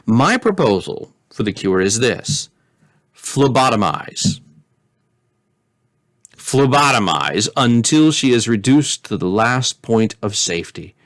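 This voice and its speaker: A man's voice: medium pitch, very animated, slow pace, a bit bright, no room sound. A man playing a pompous, stubborn materialist philosopher. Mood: pompous, certain. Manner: pompous, sententious, emphatic. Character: slow, sententious, stubborn male materialist philosopher, pompously certain. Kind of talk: storybook character reading.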